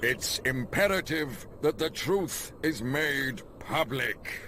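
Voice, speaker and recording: A man's voice: mid-range voice, expressive, sharp tone, a little hiss or hum. An actor playing a pleading, devout old male prisoner in a video game, speaking in a formal, theatrical way. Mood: grave, urgent. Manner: theatrical, formal, drawn-out delivery. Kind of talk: dramatic video game cutscene acting.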